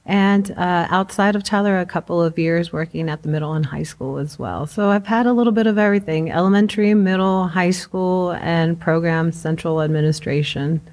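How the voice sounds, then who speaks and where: A woman's voice: lowish voice, moderate pace, few pauses, a little mellow. A middle-aged woman whose first language is Spanish, a school principal giving conversational answers as an interview guest.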